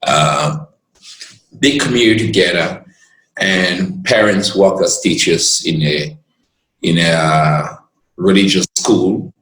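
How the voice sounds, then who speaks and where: A man from Cameroon, a lawyer and guest who tells his story in an earnest, expansive way and pauses to gather his words. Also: deep voice, fairly bright tone, echoey room, poor audio. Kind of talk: reflective storytelling in conversation.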